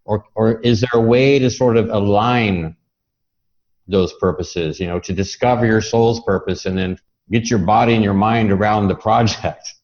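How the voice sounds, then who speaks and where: A man's voice: lowish voice, steady tone, moderate pace, a little mellow. A man interviewing a guest in a casual, reflective way, inquisitive and feeling his way through his phrasing. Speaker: podcast interviewer. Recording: dull lo-fi audio.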